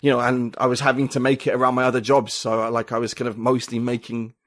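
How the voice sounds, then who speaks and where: A man's voice: mid-range voice, flat delivery, fast talker, no room sound, clean studio sound. A man speaking as a podcast guest in a casual conversation, rambling and full of "like" and "you know".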